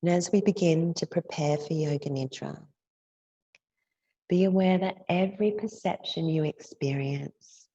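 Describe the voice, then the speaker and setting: A woman with a low, gravelly voice leads a guided meditation. She speaks softly and soothingly as a teacher, lingering between phrases. The recording is close and dry, though slightly dull. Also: dry recording. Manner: gentle, unhurried, with long pauses between phrases. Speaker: yoga nidra meditation teacher.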